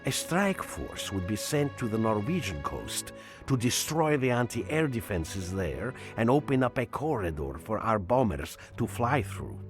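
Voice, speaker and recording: An actor playing a wry, war-weary Soviet officer, narrating a war game cutscene in a measured way. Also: male voice, on the low side, very animated. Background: music.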